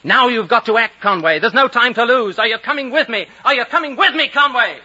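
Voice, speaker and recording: A man's voice: very high-pitched, a little fast, bright, forceful tone, exaggerated voice. A man playing an impatient, sceptical young British man in a 1950s radio drama, exclamatory and insistent, on a dull old broadcast recording. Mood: urgent, insistent.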